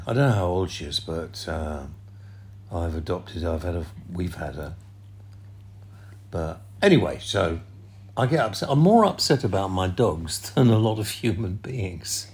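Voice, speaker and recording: A man's voice: low voice, animated, measured pace, long pauses, a little mellow. An older man, hosting a casual podcast chat and laughing often. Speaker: podcast host.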